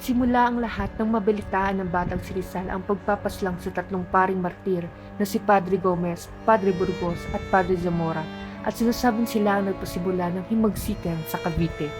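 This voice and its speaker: A woman's voice: mid-range voice, fairly even intonation, brisk, over music. A woman giving scripted historical narration for an amateur drama.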